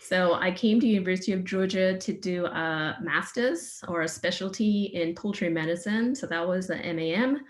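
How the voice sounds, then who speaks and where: Trinidadian woman in her 40s, lowish voice, upbeat science talk. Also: normal speed, few pauses. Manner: friendly, enthusiastic, some fillers. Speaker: veterinarian and researcher giving a talk. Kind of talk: informal science presentation. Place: remote online session.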